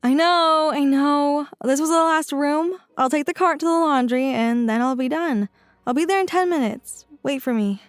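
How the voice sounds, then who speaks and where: A woman voicing a reserved but tough young hotel chambermaid in an audio drama, talking briskly. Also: sits high, no room sound, natural voice. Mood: reassuring.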